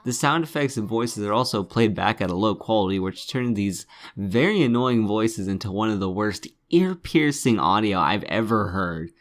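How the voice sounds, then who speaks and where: A man's voice: lowish voice, expressive, normal speed, few pauses. A man delivering scripted game review commentary in a scornful, sardonic manner, swearing freely.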